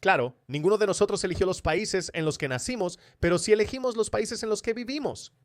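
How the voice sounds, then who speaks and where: Higher-pitched man delivering dry, close commentary. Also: a little fast, dry recording. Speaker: commentator and host of opinion video. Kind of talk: scripted political commentary monologue.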